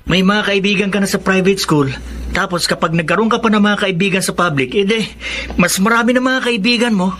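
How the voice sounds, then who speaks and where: A bright, slightly high young Filipino man is the dutiful eldest brother of a radio drama. He is a college student who advises earnestly, over slightly noisy audio. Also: fairly quick. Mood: hopeful, sincere.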